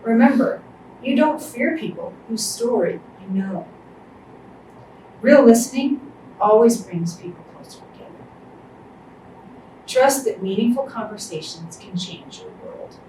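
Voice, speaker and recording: A woman facilitating a circle, reading a poem aloud in a calm, deliberate way. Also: fairly high pitch. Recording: echoey room, distant mic, faint noise.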